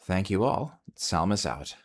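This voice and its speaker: A man's voice: low voice, very animated, a little slow, slight background noise. A man delivering a scripted listener report with a theatrical, formal sign-in manner. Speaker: podcast listener sending a recorded report.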